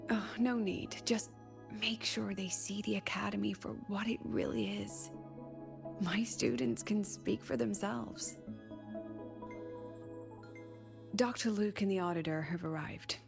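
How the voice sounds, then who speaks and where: A woman playing a composed, wry academy teacher in a video game, speaking softly and breathily with measured, coy pauses. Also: mid-range voice, creaky, over music. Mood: calm, encouraging.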